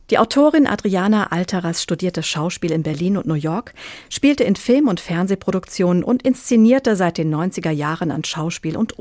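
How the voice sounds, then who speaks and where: A woman hosts a radio programme in a slightly low voice. Her presenting is scripted, and the sound around her voice is dry. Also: a little mellow, no room sound. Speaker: radio host.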